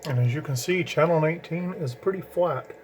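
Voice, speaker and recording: A man's voice: on the high side, brisk, darkish tone, a little hiss or hum. A man explaining radio setup in a casual, rambling how-to video while handling gear. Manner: rambling, hands-on explaining with restarts. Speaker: CB radio hobbyist. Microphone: camera mic, handling gear while talking.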